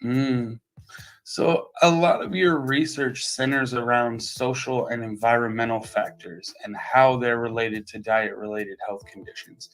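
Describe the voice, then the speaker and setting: A man's voice: mid-range voice, fairly even intonation, measured pace, fairly bright tone, poor audio. A man hosting an interview show, introducing his guest with enthusiasm and asking questions. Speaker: show host.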